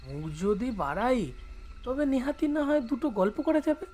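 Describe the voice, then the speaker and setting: A man's voice: extremely high pitch, sing-song, normal speed, a little mellow, slight background noise. An actor playing a fearless, cocky teenage boy, brash and nonchalant, in an audio drama. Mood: cocky, teasing.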